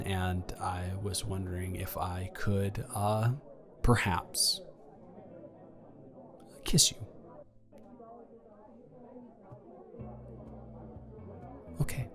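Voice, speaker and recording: A young man playing a nervous, tender ex-boyfriend in an intimate, improvised romantic audio roleplay, speaking earnestly in a halting, rambling way. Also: lowish voice, darkish tone. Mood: shy, tender. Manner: halting, rambling, earnest delivery with repeated restarts.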